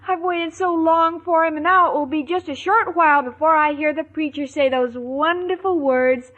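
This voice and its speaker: Naive, excitable young bride-to-be, female performer, 1940s radio sitcom, very high-pitched, muffled old recording with studio audience. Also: normal speed, lightly acted. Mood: guileless. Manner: earnest, guileless, literal-minded.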